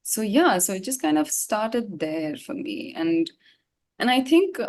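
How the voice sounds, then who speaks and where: A woman's voice: on the high side, sing-song, unhurried, darkish tone, a little hiss or hum. A woman from Gangtok, India, a singer chatting casually as an interview guest, informal and reflective in a rambling way.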